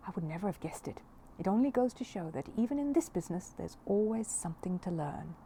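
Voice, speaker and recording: A woman voicing a kindly, tactful older man, gentle and musing, in a storybook. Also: mid-range voice, expressive, fast talker, a little hiss or hum, natural voice. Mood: surprised, gentle. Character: kindly, tactful, reflective older male shopkeeper friend.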